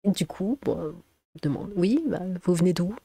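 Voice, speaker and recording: A woman's voice: on the low side, expressive, moderate pace, vocal fry, warm tone, breathy edge, no room sound. A woman from Alsace with a French accent, reading an article aloud as an online commentator and breaking in with sarcastic, exasperated asides. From Alsace, France.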